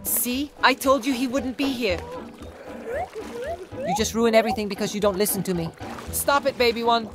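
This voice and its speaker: A raised, snippy know-it-all sibling from a kids' cartoon, voiced by a woman, scolding and sarcastic. Music plays clearly behind the dialogue. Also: on the high side, normal speed, pauses often, natural voice. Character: know-it-all sibling number creature, bickering. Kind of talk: cartoon voice acting.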